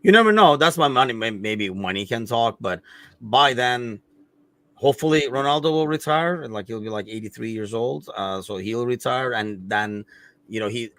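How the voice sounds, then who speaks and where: A man's voice: mid-range voice, animated, moderate pace, a bit bright, dry recording. A man hosting a live football podcast, talking casually with lots of fillers and frequent laughs. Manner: rambling, many fillers, laughs often. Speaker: podcast host.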